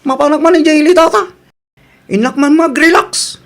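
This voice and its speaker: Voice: high-pitched, normal speed. An actor voicing a whiny, pestering young relative in a radio comedy drama.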